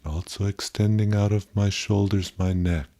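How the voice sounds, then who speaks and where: A man with a deep, dark and relaxed voice guides a meditation. He speaks gently and contemplatively, posing questions to himself and leaving pauses between phrases.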